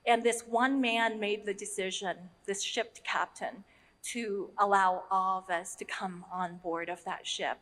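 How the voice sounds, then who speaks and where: A woman from Vietnam speaks earnestly to a gathered audience, halting now and then with ums as she recounts her story. Her voice sits in the middle of the usual female range. A long hall reverb trails behind each phrase. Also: medium pitch, fairly even intonation, fairly bright tone. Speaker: nonprofit leader on a panel. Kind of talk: personal story told to an audience.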